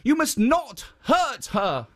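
Reader putting on a gentle guardian statue, kinda high, polite, gives warnings. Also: sing-song, slow, exaggerated voice. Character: kind protective male stone statue spirit.

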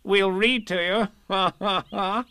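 A fussy rabbit chatters on briskly in a raised, lively voice, as a man puts him on. His pitch jumps about as he talks. The sound is dry and close. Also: creaky, no echo, exaggerated voice. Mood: cheerful.

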